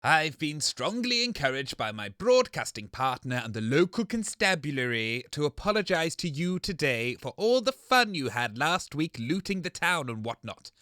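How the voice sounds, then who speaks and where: A man's voice: on the high side, big pitch swings, moderate pace, hardly any pauses, sharp tone, dry recording, studio quality. A man with a British accent playing a grumpy, unrepentant, self-righteous radio co-host in scripted comedy, stubborn and dryly sarcastic. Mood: indignant, unrepentant.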